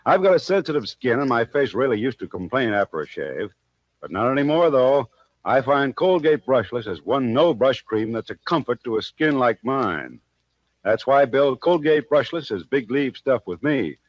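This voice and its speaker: A man's voice: mid-range voice, very animated, normal speed, no echo, dull audio. A man voicing a friendly ballplayer's endorsement letter in a 1940s radio commercial, on an old broadcast recording. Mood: earnest.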